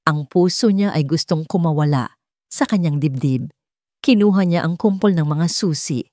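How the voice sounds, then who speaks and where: A woman's voice: low-pitched, moderate pace, warm tone, dry recording, clean studio sound. A woman narrator reading a scripted, dramatic love story.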